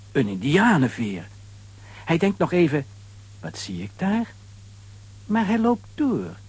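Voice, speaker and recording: A man narrates a children's adventure with a lively, swinging intonation. His voice is slightly high and husky, in a dramatic storytelling style. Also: no echo. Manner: animated storyteller delivery.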